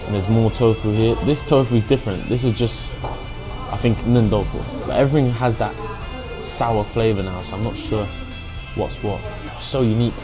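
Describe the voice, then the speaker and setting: A young man with a British accent and a low voice talks in a casual food-vlog style. He is chatty and informal, slipping Mandarin phrases into his English, with clear music playing behind him. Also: normal speed. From England. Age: young adult. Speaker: food vlogger.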